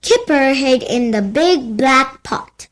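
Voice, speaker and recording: High-voiced, slow-paced woman storyteller. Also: expressive, a bit bright. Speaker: storybook narrator. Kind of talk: scripted children's story narration.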